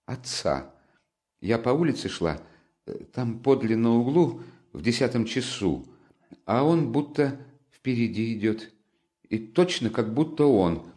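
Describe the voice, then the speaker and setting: A man's voice: mid-range voice, lively intonation, unhurried, darkish tone, pitched above the performer's own voice, close to an everyday voice. A timid young woman from a storybook reading, her voice lifted well above a man's natural register and breaking into anxious, pleading bursts. A man puts her on as he reads aloud. She sounds fragile and earnest. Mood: eager. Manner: pleading, anxious, breathless outbursts. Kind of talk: audiobook character reading.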